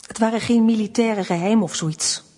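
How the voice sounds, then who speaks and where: An actress playing a defiant, weary detained wife in a radio play, giving guarded, dry and curt answers. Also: female voice, medium pitch, normal speed, warm tone, slightly rough, close to an everyday voice. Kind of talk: Dutch radio play acting.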